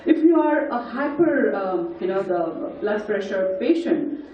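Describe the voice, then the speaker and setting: A woman with an Indian English accent and a husky voice, leading a group through breathing and sound exercises and counting the steps aloud. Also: mid-range voice, animated, unhurried. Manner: instructive, counts aloud and guides the group step by step. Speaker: voice and breathing workshop leader. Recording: echoey hall, distant, dull mic.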